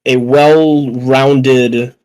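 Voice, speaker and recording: A man's voice: mid-range voice, fairly even intonation, extremely slow, darkish tone, clear, resonant tone. A young man casually discussing films on a podcast, rambling and opinionated, with plenty of swearing. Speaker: podcast panelist.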